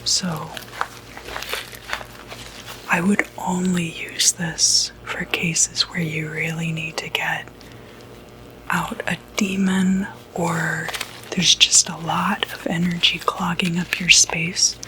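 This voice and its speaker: A woman whispering softly and breathily in an unhurried, hesitant ASMR roleplay as a doctor. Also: fairly low pitch, flat delivery, background noise. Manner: soft-spoken, unhurried, hesitant pauses. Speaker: ASMR performer role-playing a doctor.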